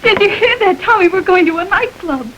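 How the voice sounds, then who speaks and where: A woman's voice: very high voice, expressive, a little fast, highs cut off, noisy background. An actress playing a trusting young woman about to be married, earnest and hopeful, in a 1930s crime film. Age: young adult.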